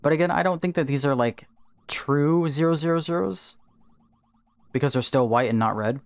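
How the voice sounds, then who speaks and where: A man streaming a game live from home, chatting casually, answering viewers and thinking out loud about his strategy. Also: a little high, normal speed. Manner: chatty, answers viewer chat while playing, thinks aloud. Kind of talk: casual livestream gameplay chat. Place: home, in Texas.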